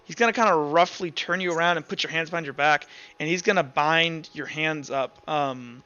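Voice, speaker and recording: A man's voice: fairly high pitch, normal speed, pushed and bright, clear, resonant tone, muffled recording. A man acting as game master, casually improvising narration for a tabletop roleplaying game.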